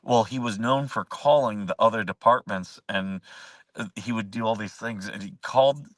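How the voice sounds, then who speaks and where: A man's voice: fairly low pitch, moderate pace, a bit bright, no echo, recorded from a distance. A man hosting a casual live chat show, rambling, chuckling often and answering viewer comments as he goes. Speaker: host.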